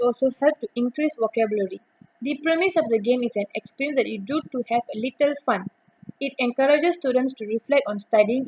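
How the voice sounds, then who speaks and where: A young woman reads a prepared academic presentation aloud. Her voice sits a little high and has a husky, breathy edge. She keeps a steady reading pace and sometimes restarts a word. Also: low-quality recording. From Malaysia.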